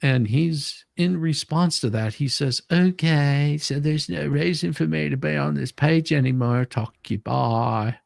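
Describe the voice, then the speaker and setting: Gravelly-voiced man, casual and halting. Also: on the high side, no room sound, studio quality. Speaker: co-host reading a deposition transcript aloud. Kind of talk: casual banter and dramatic reading of a transcript.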